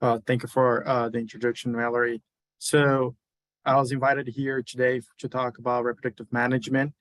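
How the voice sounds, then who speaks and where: A man's voice: medium pitch, little pitch movement, a little fast, dry recording. A man from Brazil with a Brazilian accent, giving a webinar lecture as a university beef cattle specialist, with many hesitant fillers. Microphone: video call.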